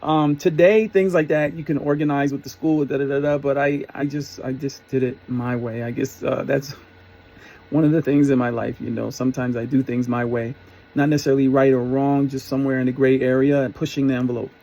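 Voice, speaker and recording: A man's voice: medium pitch, flat delivery, a little fast, mellow, dull audio. A middle-aged man telling a personal story in a casual, rambling, reflective way. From New York area, US. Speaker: storyteller, former concert promoter.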